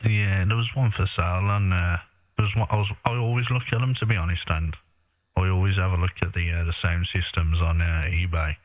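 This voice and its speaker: A low-pitched British man chats casually over a narrow-band radio link. He is matey and colloquial, often tagging questions onto his remarks. The sound is thin, like a ham radio transmission. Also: steady tone, a little fast, no echo. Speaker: amateur radio operator.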